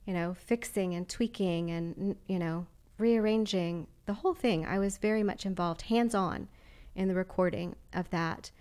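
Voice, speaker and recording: A woman's voice: lowish voice, moderate pace, a little mellow, clear, resonant tone. Enthusiastic woman guest telling stories. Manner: enthusiastic, rambling storyteller. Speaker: podcast guest. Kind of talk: casual interview chat.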